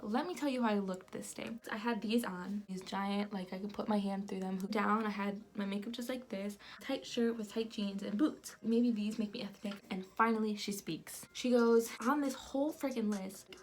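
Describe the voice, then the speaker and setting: A young woman from the United States, telling a personal story in an animated vlog style with lots of fillers. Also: mid-range voice, normal speed, few pauses, a little hiss or hum. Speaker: vlogger.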